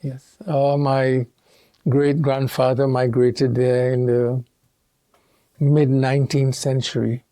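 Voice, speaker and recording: A man from Trinidad and Tobago, a theologian speaking as an interview guest in a measured, thoughtful way with pauses and restarts. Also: medium pitch, level tone, dark tone, no echo. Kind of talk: reflective interview conversation.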